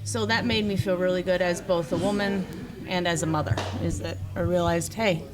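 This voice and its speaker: A woman's voice: lowish voice, steady tone, moderate pace, heavy background noise. A woman in her thirties, a podcast guest telling a personal story in an earnest, candid way. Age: 30s. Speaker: podcast guest, jiu-jitsu athlete. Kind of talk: casual podcast chat. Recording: loud crowd chatter in room.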